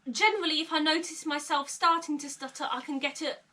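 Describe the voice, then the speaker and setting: High bright young woman, slight stutter. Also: fairly even intonation, a little fast. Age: young adult. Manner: occasional stutter, candid, nervous laughs. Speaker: vlogger. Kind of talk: personal confessional vlog. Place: her bedroom, indoors. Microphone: camera mic at a short distance. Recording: slightly distant camera mic.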